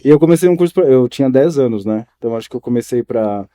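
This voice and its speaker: A Brazilian man in his forties with a Brazilian Portuguese accent, a podcast guest recalling his school days, talking in a hesitant, relaxed way with many restarts. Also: mid-range voice, fast. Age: 40s. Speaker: guest, former student. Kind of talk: casual interview chat.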